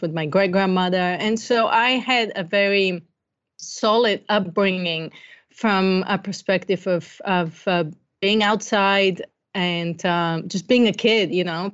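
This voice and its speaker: A woman's voice: medium pitch, clear, resonant tone, lo-fi sound. A middle-aged woman from Bulgaria with a Bulgarian accent, talking conversationally about herself as a podcast guest. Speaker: podcast guest, coach and entrepreneur. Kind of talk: conversational interview, telling life story.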